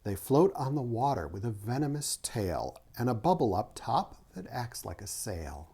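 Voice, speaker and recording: A man with a mellow, relaxed voice reads aloud for children in the manner of a teacher. His pitch moves in an animated way, keeping a clear rhythm as he presents the material. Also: moderate pace. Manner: rhythmic reading of rhyming verse, teacherly asides. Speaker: author, artist and art teacher reading aloud. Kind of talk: children's picture-book read-aloud with short explanations.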